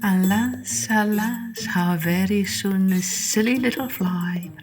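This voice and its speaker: A woman hosting a children's story podcast, reading scripted narration in a deliberate, expressive way. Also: medium pitch, music in the background.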